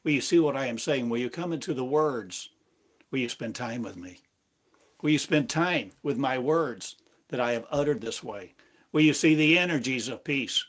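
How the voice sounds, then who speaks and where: A man preaching a prophetic sermon, speaking slowly and solemnly in the voice of God, with long pauses between phrases. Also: medium pitch, animated.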